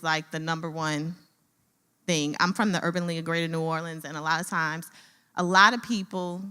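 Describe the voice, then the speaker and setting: A woman from a nonprofit giving a conversational comment at a conference, with a loud voice and frequent filler words. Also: sits low. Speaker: nonprofit staffer. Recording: reverberant conference hall.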